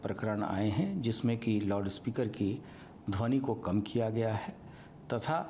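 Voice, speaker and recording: A man speaking as an official briefing the press, measured and halting, with pauses. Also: fairly low pitch, lively intonation, darkish tone. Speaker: government official. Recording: faint ambient background noise.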